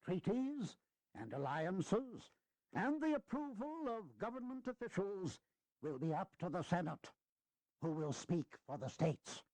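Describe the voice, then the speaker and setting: An old statesman delivering earnest, wry oratory in a historical radio play. His high voice swings widely in pitch. He speaks deliberately and emphatically, in a very dry, close recording. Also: very animated, darkish tone, dry recording, rough recording. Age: older.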